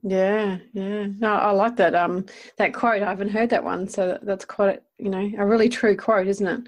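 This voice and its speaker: A woman with a mid-pitched voice works as an interviewer. She speaks casually and informally, affirming the guest and rephrasing her questions as she goes. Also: steady tone, moderate pace. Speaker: interviewer, host.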